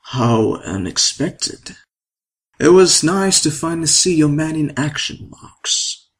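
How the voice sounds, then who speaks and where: A man's voice: a little high, lively intonation, takes it slowly, husky, exaggerated voice. A man playing a smug, callous sponsor in an audio drama, with a mock-polite, sardonic drawl. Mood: amused condescension.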